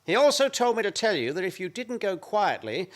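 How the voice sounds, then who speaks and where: A male actor plays a smug, officious bouncer in a radio farce. His voice is high for a man and very lively, with pompous mock politeness. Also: a little fast. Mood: smugly polite. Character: smug, officious man relishing throwing people out. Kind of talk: stage farce radio play acting.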